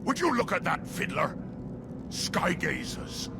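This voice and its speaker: Hedge, a man doing a gravelly surly stone guard. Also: high-pitched, very animated, slow pace, background noise, heavily acted. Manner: blunt, mocking. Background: loud music.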